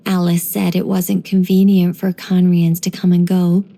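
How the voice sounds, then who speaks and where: A woman voicing a gentle, wise young angelic woman in game voice lines, soft and wryly musing. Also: lowish voice, level tone, measured pace, vocal fry.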